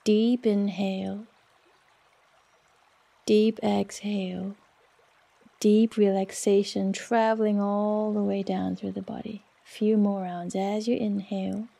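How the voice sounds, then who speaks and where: A woman leading a guided relaxation meditation in a soft, soothing, unhurried manner with long pauses. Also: mid-range voice, flat delivery, vocal fry. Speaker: yoga nidra meditation guide.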